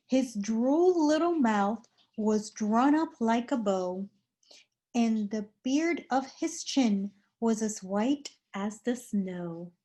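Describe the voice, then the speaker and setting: A woman hosts a storytime for children. Her voice sits a little high, and she delivers storybook verse at a very slow, measured pace. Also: dark tone. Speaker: storytime reader and host.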